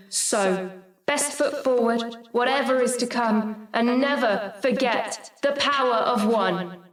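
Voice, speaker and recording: A woman's voice: high-pitched, recorded from a distance. A woman acting in a radio play as an ambitious, guarded political candidate who performs rhyming verse. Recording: roomy echo, music behind.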